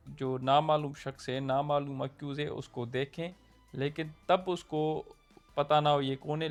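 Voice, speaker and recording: A young man with a Pakistani Urdu accent explains a point of law in a casual, conversational lesson, often checking in with his listeners. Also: fairly high pitch. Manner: conversational, checks in with "theek hai". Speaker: law lecturer.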